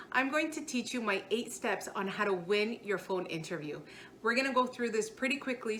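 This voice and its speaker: A woman's voice: mid-range voice, a bit bright. Woman career coach with noisy background. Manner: instructional, addresses viewer directly. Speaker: career coach and video host. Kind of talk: scripted advice to camera.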